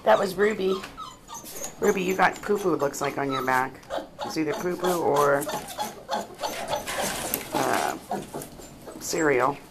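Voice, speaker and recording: A woman's voice: low-pitched, slow pace, creaky, long pauses. A woman filming her puppies in a small bathroom at home, talking to them in a cooing, baby-talk way on a handheld camera. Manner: coos and baby-talks to the puppies. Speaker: dog breeder. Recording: loud puppy noise behind.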